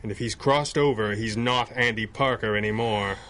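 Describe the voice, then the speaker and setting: An actor playing a stern, resolute masked crime-fighter with grave, clipped, formal speech in a 1930s radio adventure style. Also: male voice, lowish voice, steady tone, normal speed, a bit bright, close to an everyday voice.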